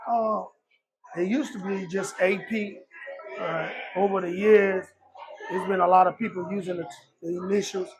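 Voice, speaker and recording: A middle-aged man from Liberia speaks with a fairly high voice. He talks softly and haltingly, filling pauses as he answers. A crowd murmurs behind him. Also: steady tone, gravelly, poor audio. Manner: soft-spoken, halting with many fillers. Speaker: rapper, guest. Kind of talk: casual interview.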